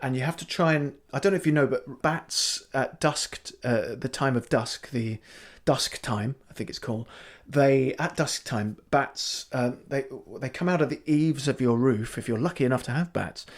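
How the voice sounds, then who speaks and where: A man improvising a fake life story as a comedy podcast guest, rambling in a deadpan way with many restarts. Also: mid-range voice, normal speed. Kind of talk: improvised comic interview.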